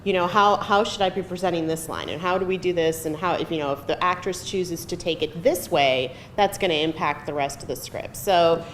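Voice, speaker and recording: A woman's voice: brisk, few pauses. A middle-aged woman screenwriter casually answering panel questions in a chatty, self-deprecating way. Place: live film festival event room. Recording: echoey room, audible noise and rumble.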